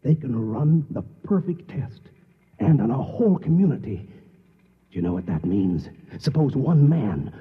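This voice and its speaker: A man's voice: medium pitch, sing-song, unhurried, slightly rough, exaggerated voice. An actor playing an ordinary man, urgent and determined, with intense, emphatic delivery in a 1950s radio drama. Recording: tinny, reverberant old radio recording.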